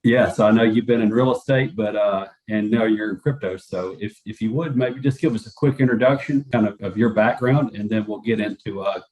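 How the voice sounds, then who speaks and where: Low-voiced man hosting an interview. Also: fairly quick, hardly any pauses, low-quality recording. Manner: relaxed, hesitant with fillers. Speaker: host. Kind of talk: casual interview.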